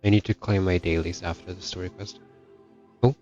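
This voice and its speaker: A man's voice: sits low, steady tone, a little fast, warm tone. A young man chatting casually as he streams a video game. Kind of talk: casual gaming livestream commentary. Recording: dull mic, game music behind. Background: faint game music.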